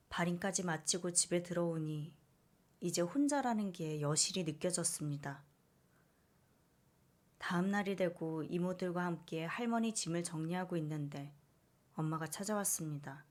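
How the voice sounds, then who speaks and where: A woman reading a scripted first-person story as its narrator. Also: on the low side.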